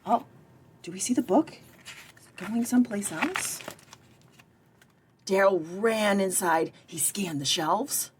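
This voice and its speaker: A woman's voice: medium pitch, animated, slow, pauses often, a little hiss or hum. A woman reading a picture book aloud for story time, expressively, with chatty asides to young listeners. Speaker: library director and former children's librarian reading aloud.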